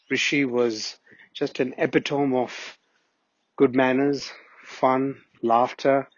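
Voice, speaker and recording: Husky middle-aged man, earnest. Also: medium pitch, no echo. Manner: earnest, reminiscing, with frequent restarts. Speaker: family friend giving a memorial tribute. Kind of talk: personal spoken tribute. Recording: dull, muffled audio.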